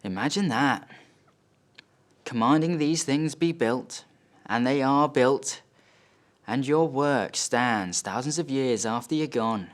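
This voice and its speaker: A man voicing a proud, scornful father of about sixty in a stage monologue, with sneering, drawn-out, emphatic reproaches. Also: on the low side, fairly bright tone. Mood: sardonic.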